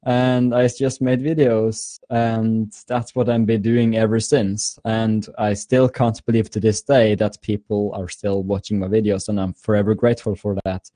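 A man's voice: on the low side, level tone, moderate pace, few pauses, mellow, dry recording. A man in his twenties from Norway, a guest answering interview questions eagerly, often repeating words and laughing. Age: 20s. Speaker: guest YouTuber. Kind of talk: casual interview.

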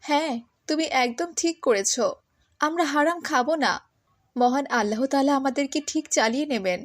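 A gentle village mother in a devout cartoon tale, played by a woman. Her voice is very high and sits dry and close, full of pious care. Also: moderate pace, dry recording, natural voice. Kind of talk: cartoon moral-story voice acting.

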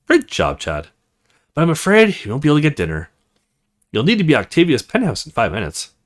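A man's voice: on the high side, wildly swooping pitch, fast talker. A man voicing a stern, teasing female officer in a visual novel, matter-of-fact and commanding. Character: stern, teasing military woman officer in charge.

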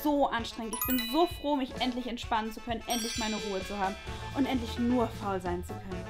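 A woman's voice: a little high, brisk, lightly acted. A woman playing a lazy, drained schoolgirl in a comedy sketch, whiny and sighing. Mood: exhausted, lazy. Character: lazy, drained schoolgirl who wants to be left alone. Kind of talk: acted comedy sketch for online video. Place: living room, on a sofa. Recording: music behind the voice.

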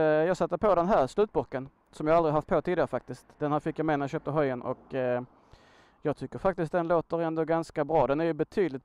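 Clear-voiced Swedish man chatting casually. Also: on the high side, a little mellow, dry recording. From Sweden. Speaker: motorcycle vlogger, host. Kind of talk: casual chatty vlog monologue. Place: outdoors on a motorcycle by a road.

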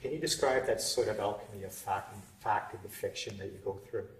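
Relaxed male interview host. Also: lowish voice, a little fast. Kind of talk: interview questions. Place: wood-panelled indoor room. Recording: roomy sound from a distant mic.

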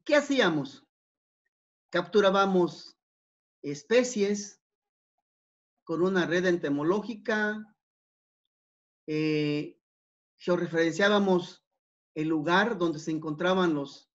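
A man giving an academic talk over slides, unhurried, with many fillers and repeated words. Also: sits high. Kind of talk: conference presentation over slides. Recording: dull, lo-fi online talk.

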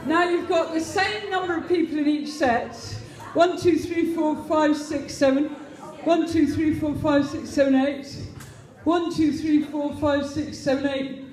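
A woman's voice: sits high, fairly even intonation, a little slow, recorded from a distance. A woman with an English accent calling steps at a barn dance, in a chatty, sing-song way that keeps breaking into laughter. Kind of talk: calling dance moves over live music. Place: large community hall with dancers. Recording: echoey hall over loud band and crowd.

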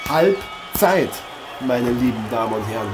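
A man's voice: medium pitch, animated, measured pace, over music, a crowd in the background, lightly acted. A man playing a chatty, joking boxing interviewer and commentator in an improvised mock sports radio play, rambling and hesitant. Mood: rambling, genial. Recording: clearly noisy amateur recording.

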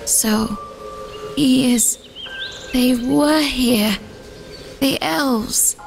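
A woman acting a heartbroken, dreamy young girl in a motion comic, speaking haltingly and wistfully. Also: high-pitched, vocal fry, heavy background noise, full character voice. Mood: tender wonder. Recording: music behind the voice.

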